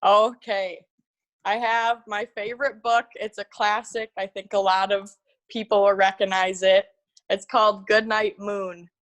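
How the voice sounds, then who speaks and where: A woman's voice: mid-range voice, level tone, unhurried, fairly bright tone, no echo. A woman of about twenty with an American accent, chatting casually as a college athlete guest. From Minnesota, United States. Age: around 20. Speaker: guest, college tennis player. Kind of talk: casual chat.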